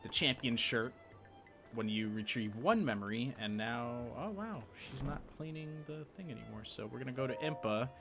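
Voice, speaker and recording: A man's voice: mid-range voice, normal speed, gravelly. A man giving casual game walkthrough commentary as the host of a gaming video. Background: game music.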